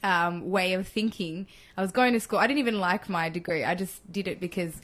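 A woman in her twenties from Chile, a podcast guest talking in a relaxed, thoughtful way with many fillers. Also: lowish voice, fairly quick. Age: 20s. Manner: thoughtful, filler-heavy, laughs easily. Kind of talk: casual interview chat.

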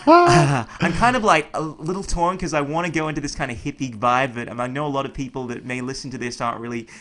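Male podcast interviewer. Casual, quick delivery, mid-pitched, informal and rambling. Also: animated, a bit bright. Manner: informal, rambling, circles round his point.

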